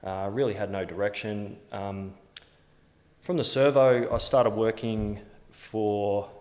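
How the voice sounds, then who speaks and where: Laid-back Australian man, low voice. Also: fairly even intonation, slow, a little mellow. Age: 40s. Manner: laid-back, slangy, candid storyteller. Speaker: guest. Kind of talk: casual interview chat. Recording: echoey room with long reverb.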